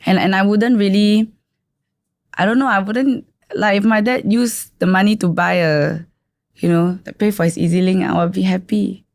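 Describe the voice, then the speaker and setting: A woman's voice: medium pitch, steady tone, moderate pace, creaky, a little mellow, no echo, clean studio sound. A woman in her twenties from Malaysia, speaking with a Malaysian English accent, talking earnestly and frankly in a casual podcast chat. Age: 20s. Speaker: podcast co-host.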